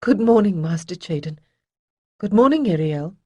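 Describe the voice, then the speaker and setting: A narrator voicing a gentle, seasick noble lady who speaks softly and politely. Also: medium pitch, expressive, normal speed, no room sound, natural voice. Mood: uneasy.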